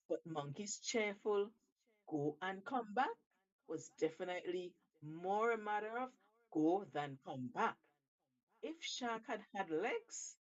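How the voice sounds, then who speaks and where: A woman telling an animal folktale on the radio, with theatrical, deliberate phrasing and an expressive delivery. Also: medium pitch, highs cut off. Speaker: radio storyteller.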